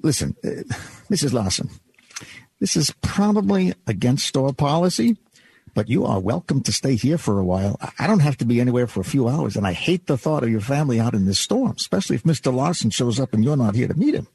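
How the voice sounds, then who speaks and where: Radio play role: folksy, good-hearted doorman, slightly high husky voice, lively swoops. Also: brisk, exaggerated voice. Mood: earnest. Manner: folksy, hesitant, reassuring. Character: kindly, folksy department store doorman. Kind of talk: old-time radio drama acting.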